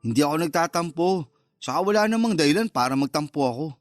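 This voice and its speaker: A man's voice: on the high side, lively intonation, fast talker, no room sound, faint music behind, close to an everyday voice. A man acting a cocky, teasing and easily wounded secret lover, with a Filipino accent, in a radio love drama. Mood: irritated.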